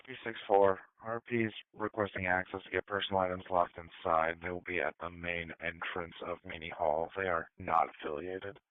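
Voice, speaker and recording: Very deep-voiced man on police radio. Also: fairly even intonation, moderate pace, no echo. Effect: two-way radio. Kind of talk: terse police radio talk. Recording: narrow phone-like police scanner radio.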